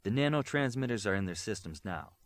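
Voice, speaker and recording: A man's voice: on the low side, expressive, fairly quick, lightly acted. A man voicing a busy, methodical female tech analyst in an audiobook, detailed and businesslike. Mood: matter-of-fact.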